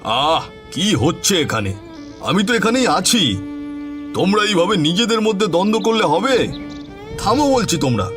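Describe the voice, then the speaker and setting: A man's voice: high voice, very animated, normal speed, pauses often, over music. A man playing a stern, authoritative village headman in a cartoon, speaking in a commanding way. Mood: exasperated authority. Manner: commanding, questioning.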